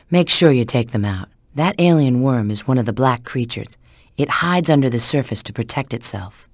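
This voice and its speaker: A woman's voice: rumbling low, dry recording, studio quality. An actress playing a sly, confident female spy in a video game, giving scripted hints in a cool, assured, instructive way.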